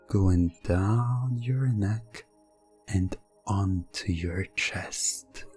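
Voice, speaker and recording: Very slow, breathy man narrating hypnosis. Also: lowish voice, warm tone. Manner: measured, pausing between short phrases.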